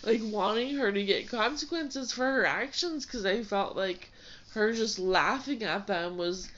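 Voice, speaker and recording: A woman's voice: mid-range voice, measured pace, fairly bright tone. A woman pastor co-hosting a casual ministry talk, stuffed up from a cold, chatty with laughs and fillers. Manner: conversational, with laughs and many fillers. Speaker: pastor and co-host. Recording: dull lo-fi sound.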